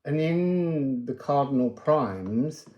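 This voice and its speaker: Casting: androgynous, mellow spiritual card reader, unhurried and digressive, informal delivery with stressed words, roomy echoing recording. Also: medium pitch, lively intonation, highs cut off. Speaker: tarot and oracle card reader. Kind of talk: casual, rambling spiritual card reading.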